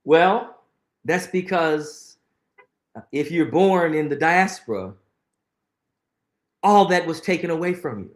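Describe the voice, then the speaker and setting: A middle-aged man with a somewhat high voice talks earnestly in an interview, pressing his points and repeating phrases for weight. The sound carries a noticeable room echo. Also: animated, slow, long pauses. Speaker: guest. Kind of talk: conversational interview, reflective storytelling.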